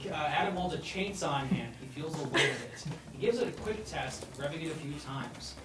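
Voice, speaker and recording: Brief: man narrating a comedy table read, animated and fairly high-pitched, laughs easily, distant mic in a loud room. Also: a little fast. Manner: often breaks into laughter. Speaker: narrator reading stage directions. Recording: noisy echoey room, far from mic.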